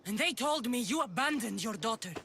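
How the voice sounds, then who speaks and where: An actress playing a bitter, defiant warrior champion in a video game, challenging and intense in manner. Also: female voice, a little high, animated, brisk, a bit bright, slightly rough, heavily acted. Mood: cold bitterness. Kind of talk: dramatic video game voice acting.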